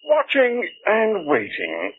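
A man's voice: high-pitched, wildly swooping pitch, slow, exaggerated voice. A young man playing a suspicious builder in a 1940s radio drama, blunt and cocky. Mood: sly. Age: young adult. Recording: tinny old radio recording.

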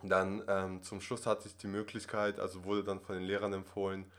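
A man's voice: low voice, completely flat delivery, moderate pace, fairly bright tone. A man in his twenties who grew up in Russia, talking casually as a podcast guest, rambling with many fillers and tag questions. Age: 20s. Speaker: acting student guest.

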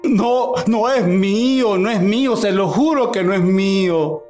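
A man's voice: sits high, unhurried, a little mellow, soft background music. A man playing a frightened, pleading old peasant father in a radio drama. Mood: desperate pleading. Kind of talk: amateur radio drama acting.

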